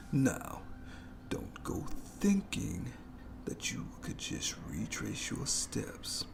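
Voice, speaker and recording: A man's voice: on the low side, gravelly, exaggerated voice. Whispering, sadistic monster acted by a man. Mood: gloating, menacing. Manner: whispery, drawn-out, taunting, mock-courteous delivery. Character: sadistic, playful, menacing monster lurking in its lair. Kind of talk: horror ASMR roleplay.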